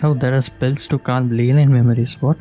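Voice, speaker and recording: Mellow, giggly woman commenting on a game. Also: a bass voice, fairly even intonation, brisk. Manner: giggly, reacting aloud to the game. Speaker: gaming streamer. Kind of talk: casual playthrough commentary. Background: game music.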